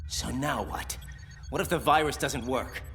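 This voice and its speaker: An actor playing an anxious, brash young rookie soldier in a video game drama. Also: male voice, mid-range voice, measured pace, gravelly, fairly bright tone. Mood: worried. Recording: long reverb with faint music.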